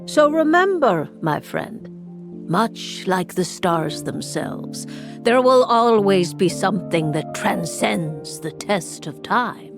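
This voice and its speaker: A woman playing a solemn, mystical seer in a video game, speaking gravely and deliberately. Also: medium pitch, big pitch swings, over music. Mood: solemn, consoling. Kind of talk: scripted video game voice acting.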